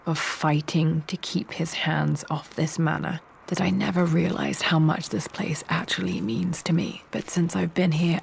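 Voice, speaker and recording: An actress playing a young British archaeologist adventurer, earnest and determined, with a breathy, hushed delivery in a video game cutscene. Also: female voice, low-pitched, a little hiss or hum, exaggerated voice.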